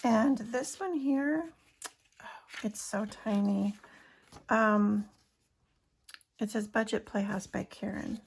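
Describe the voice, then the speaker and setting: A hoarse woman chatting casually to her viewers as a budgeting vlogger, counting cash aloud as she works. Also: mid-range voice, slow, long pauses, a little hiss or hum. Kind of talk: casual chat while cash stuffing.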